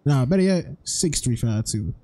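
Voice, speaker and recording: Very dark-voiced man, slangy streamer. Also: medium pitch, animated, moderate pace. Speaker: game streamer. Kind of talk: casual chat while role-playing an online game.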